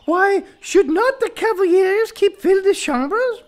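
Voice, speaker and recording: A woman's voice: very high voice, expressive, a little slow. A woman playing a sly rogue disguised as a fawning, melodramatic maidservant in a period audio farce. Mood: coy, fawning. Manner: melodramatic, wailing, fawning in archaic speech. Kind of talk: farcical period audio drama acting.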